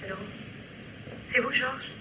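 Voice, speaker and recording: A woman's voice: high voice, slow. An actress playing a nervous, secretive young woman on the run, speaking hurriedly through a telephone in a radio mystery play. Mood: guarded. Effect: telephone filter. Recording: thin, band-limited telephone line, faint hiss.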